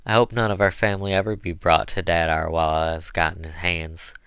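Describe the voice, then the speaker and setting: A man's voice: deep voice, flat delivery, brisk, a bit bright, clean tone, no room sound, studio quality. A man voicing an eager, good-humored woman, an enslaved cook, in folksy dialect for an audiobook. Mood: earnest. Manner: folksy dialect with mispronounced words. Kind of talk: audiobook character voice.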